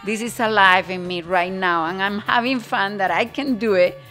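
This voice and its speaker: A woman's voice: mid-range voice, normal speed, faint music behind. An older woman from Spain with a Spanish accent, talking casually and animatedly about her life as a guest in an interview. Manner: animated, imitates drum beats with her mouth. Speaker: guest musician.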